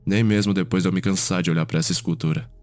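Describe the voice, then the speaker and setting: A man playing a genial, refined vampire nobleman with a gentle, measured manner, in an ASMR roleplay story. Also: deep voice, fairly even intonation, natural voice. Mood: fond, amused. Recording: music behind the voice.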